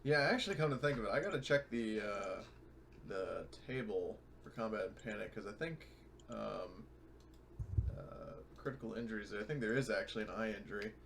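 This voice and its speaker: Male tabletop player, average pitch with heavy fry, casual and hesitant, slight background noise. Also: normal speed. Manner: hesitant, checking rules aloud. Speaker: player in tabletop roleplaying game. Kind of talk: casual game-table chat.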